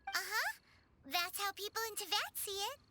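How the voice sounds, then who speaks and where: A tiny female fairy companion in a game, cute and inquisitive. The voice is extremely high and childlike, with lively swings of pitch. Loud music plays underneath. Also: normal speed. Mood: surprised, indignant. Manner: squeaky, cute, refers to self in third person. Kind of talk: scripted video game dialogue.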